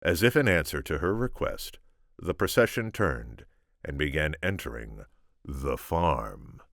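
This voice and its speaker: A man reading a story aloud as expressive narration, theatrical and deliberate. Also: a bass voice, vocal fry, dry recording, clean studio sound. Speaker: amateur audiobook reader.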